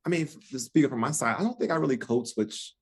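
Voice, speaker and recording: A man's voice: mid-range voice, fast, slightly rough. A man with an American accent, a guest on a relationship podcast, talking casually with frequent restarts and fillers. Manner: rambling with many restarts and fillers. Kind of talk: casual conversation.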